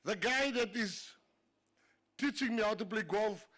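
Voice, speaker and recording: South african guy, minister, afrikaans first language, cracking stories at a dinner, high voice, laughs at his own jokes. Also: a little slow, pushed and bright. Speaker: government minister giving a speech. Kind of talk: humorous after-dinner speech. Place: banquet hall.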